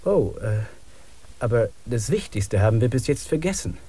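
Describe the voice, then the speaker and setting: An actor playing an eager male expedition member who talks in an animated way in a radio play. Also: medium pitch, moderate pace, breathy edge.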